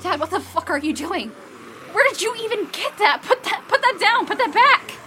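A woman acting an exhausted, emotional daughter in a post-apocalyptic audio drama, speaking haltingly. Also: very high voice, lively intonation, sharp tone, a little hiss or hum, heavily acted. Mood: alarmed. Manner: halting, repeats words.

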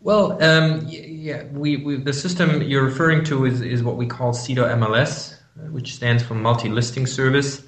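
A man's voice: mid-range voice, fairly even intonation, normal speed, hardly any pauses, echoey room. A man from Germany with a German accent, answering interview questions about his company in a hesitant way, full of fillers and restarts. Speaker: company representative guest. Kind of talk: business interview.

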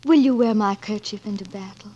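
An actress playing a highborn, romantic young lady, earnest and courtly, with a husky, breathy voice in 1940s radio drama style. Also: fairly quick, dark tone, a little hiss or hum, natural voice. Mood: teasing, affectionate. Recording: dull vintage 1940s radio broadcast.

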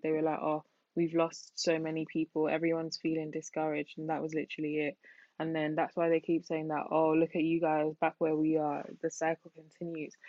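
Low-voiced young woman, casual podcast chat. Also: no room sound, lo-fi sound. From Nigeria. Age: young adult. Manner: animated, laughing, many "like"s. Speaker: podcast co-host. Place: London.

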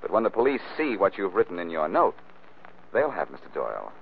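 Low-voiced wisecracking radio detective. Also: male voice, huge pitch swings, brisk, gravelly, heavily acted. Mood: sly. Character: wisecracking amateur detective, cool and sardonic. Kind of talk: 1940s radio drama acting. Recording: muffled, faintly noisy old radio recording.

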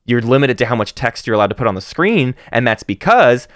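A male podcast co-host talks through poems with lively, swinging intonation and frequent restarts. His voice sits at an ordinary pitch, recorded close and dry with no room sound. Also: no echo. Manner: animated, rising to excited emphasis, halting restarts. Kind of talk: casual book-club chat and poetry reading.

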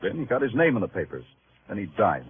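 An actor playing a wry, hard-boiled New York police detective in a vintage radio crime drama, with an American accent and clipped delivery. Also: male voice, lowish voice, very animated, fast talker, slight background noise. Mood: pressing, needling. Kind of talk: 1950s radio crime drama acting, first-person narration. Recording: muffled vintage radio recording with music.

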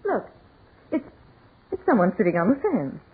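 An American actress playing an impatient, highly strung woman in a 1940s radio horror play. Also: big pitch swings, moderate pace, breathy edge, phone-quality sound. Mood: urgent. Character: impatient, scornful woman turning fearful. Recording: noisy band-limited 1940s radio recording.

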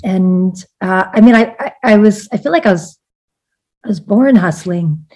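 A woman from Vietnam, an author talking through her thoughts in a relaxed interview, with lots of fillers and restarts. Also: medium pitch, moderate pace. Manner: reflective, many fillers and restarts, laughs often. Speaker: author and guest. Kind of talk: casual interview conversation.

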